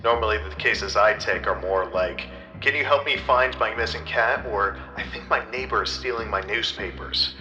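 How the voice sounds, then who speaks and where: Comedy noir private eye, male actor: deep, deadpan, dramatic and clueless, echoey with music underneath. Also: normal speed, muffled recording. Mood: earnest. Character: self-serious, dim-witted male private detective, narrating his case. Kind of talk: parody noir narration and character dialogue.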